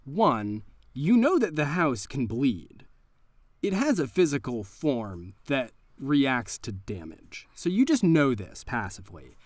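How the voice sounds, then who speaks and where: Lively man narrating a horror game, dry sound. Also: medium pitch, slow pace, no echo. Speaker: game master.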